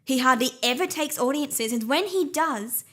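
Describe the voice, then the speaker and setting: A woman's voice: high-pitched, expressive, moderate pace, fairly bright tone. A woman playing a worried, reasonable palace adviser in a fantasy audio drama. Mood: doubtful.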